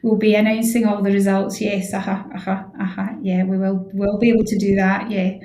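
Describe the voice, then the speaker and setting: A woman's voice: mid-range voice, flat delivery, a little fast, mellow, slight background noise. A woman chatting informally as an expert guest in a radio interview, explaining things and readily agreeing. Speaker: head of physiotherapy, interview guest. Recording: echoey room sound.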